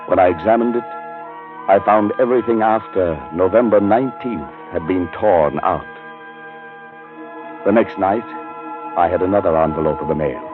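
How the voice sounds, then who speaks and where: A male actor plays a dread-ridden man with a deep voice, recounting his own doom. His delivery is theatrical and deliberate, in the manner of old radio horror. The recording is dull and aged, with music behind it. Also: natural voice. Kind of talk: vintage radio horror acting, first-person narration.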